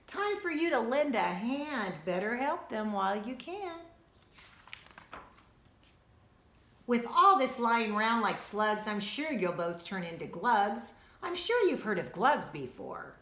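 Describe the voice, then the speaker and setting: Woman playing a jolly, high-pitched handyman helper. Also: very animated, a little slow, few pauses, pushed and bright, heavily acted. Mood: teasing. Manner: chirpy, exclamatory, coaxing. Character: jolly, bossy, energetic handyman helper, male. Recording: roomy, echoing indoor sound.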